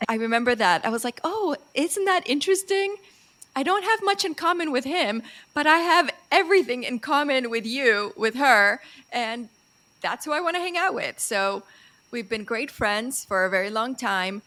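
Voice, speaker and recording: A woman's voice: high voice, moderate pace. Bubbly woman podcast host. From Chile. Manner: bubbly, laughs often, animated storytelling. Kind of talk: casual interview chat.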